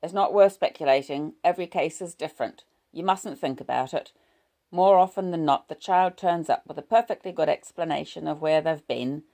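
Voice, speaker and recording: Woman doing a policeman, brisk, official, reassuring, gravelly tone. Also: deep voice, mellow.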